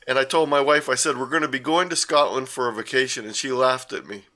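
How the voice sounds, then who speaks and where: An older man tells a casual story over slides, wandering off track and correcting himself as he goes. His voice is bright and forward, at an ordinary middle pitch, heard over a video call. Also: fast. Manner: rambling, with frequent uh and self-corrections. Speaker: guest presenter.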